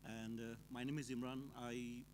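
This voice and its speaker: A man's voice: medium pitch, little pitch movement, normal speed, noisy background. A man raised in Dubai, born in Bangladesh, speaking informally and a bit ramblingly as an events-industry speaker. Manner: informal, chatty, a little rambling. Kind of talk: unscripted conference remarks.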